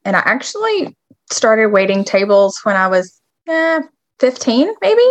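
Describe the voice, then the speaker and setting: A woman's voice: a little high, very animated, dry recording, highs cut off. Bubbly woman co-host, eager chatter. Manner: enthusiastic, bubbly, quick bursts. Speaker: podcast co-host, registered dietitian. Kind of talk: casual chat.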